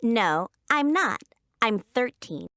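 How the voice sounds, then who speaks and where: Brief: polite young teen girl, slow careful delivery, bouncy intonation. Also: a little high, sing-song, fairly bright tone, no echo, studio quality, full character voice. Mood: plain. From Canada. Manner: short, slow, clearly spaced answers. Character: 13-year-old girl tennis player, polite and brief. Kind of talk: scripted textbook dialogue.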